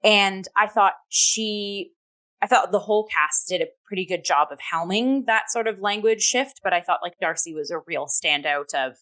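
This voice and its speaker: A woman's voice: mid-range voice, normal speed, fairly bright tone. A woman co-hosting a casual review chat, conversational and emphatic as she searches for words. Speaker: talk show co-host and theatre reviewer.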